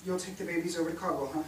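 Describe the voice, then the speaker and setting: A man's voice: a little high, close to an everyday voice. A man on stage playing a worn-down, stern father who has lost his job and speaks with resignation. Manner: hesitant, resigned, turning stern. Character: worn-down, stern laid-off father of a 1930s-style household. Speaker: stage actor. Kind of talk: staged reading of a period family drama. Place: indoor theatre stage, recorded from afar. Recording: roomy theatre, distant mic, hissy background.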